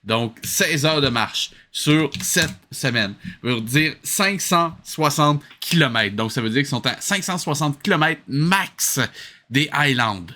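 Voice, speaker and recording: A man's voice: fairly high pitch, lively intonation, unhurried, pushed and bright, a little hiss or hum. A man with a Québécois accent giving comic reaction commentary, incredulous and full of exasperated questions. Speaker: host reviewing a cartoon.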